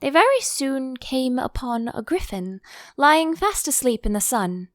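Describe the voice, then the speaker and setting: A woman reading an audiobook as an expressive storybook narrator, close to the microphone. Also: high-pitched, measured pace, no room sound.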